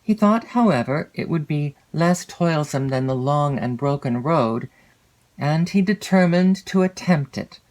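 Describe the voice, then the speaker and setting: A woman reading literary fiction as an audiobook narrator, in a measured, expressive storytelling manner. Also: sits low, rough recording. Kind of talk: scripted literary narration of a classic novel.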